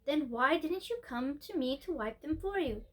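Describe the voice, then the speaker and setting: Woman voicing a sweet, high, shaky old lady. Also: expressive, normal speed, a bit bright. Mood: gently concerned. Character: very old gentle lady, kindly and motherly.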